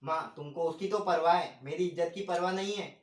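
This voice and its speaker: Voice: medium pitch, a little fast, a little hiss or hum, lightly acted. A performer playing a proud, scheming husband in an amateur moral story, with a self-justifying manner. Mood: aggrieved. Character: image-conscious scheming husband, proud of himself. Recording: roomy, echoing sound.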